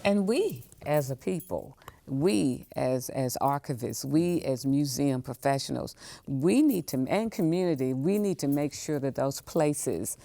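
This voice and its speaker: A woman's voice: very deep voice, expressive, measured pace, creaky, dark tone, no echo. A woman speaks reflectively as an interview guest, repeating phrases for emphasis. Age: 60s. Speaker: museum professional, podcast guest.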